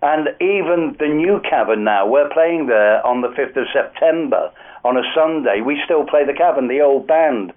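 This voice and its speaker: A man's voice: a little high, lively intonation, moderate pace. Older man with a Liverpool accent, a radio guest telling rambling anecdotes, thin phone-like sound. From Liverpool, England. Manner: rambling, anecdotal, many fillers. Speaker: music industry guest. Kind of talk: casual radio interview storytelling. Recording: tinny phone-like radio line.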